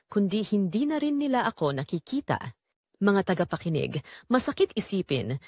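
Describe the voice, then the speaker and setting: A woman's voice: medium pitch, dry recording. Lady playing worn out neglected mom telling her sad story, pleading, very up and down voice, dry sound. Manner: emotional, sorrowful, pleading. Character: Marites, weary, neglected, long-suffering Filipino mother. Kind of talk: first-person dramatic audio story narration.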